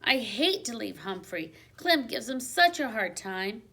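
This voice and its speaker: A woman's voice: on the high side, sing-song, a little slow, pushed and bright, heavily acted. An anxious, caring young girl from a children's storybook, read by a woman. She sounds earnest and full of concern. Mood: worried.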